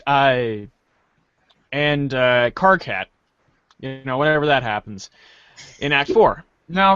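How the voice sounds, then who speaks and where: A man's voice: fairly high pitch, fairly bright tone, dry recording, muffled recording. A man hosting a podcast and narrating a read-aloud in a jovial, theatrical way.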